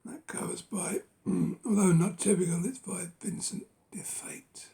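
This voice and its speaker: Gravelly hoarse man, half-whispering. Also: high-pitched, dark tone. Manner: unhurried, musing, lots of ums and rights. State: losing his voice. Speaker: book collector. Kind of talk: casual collection walkthrough.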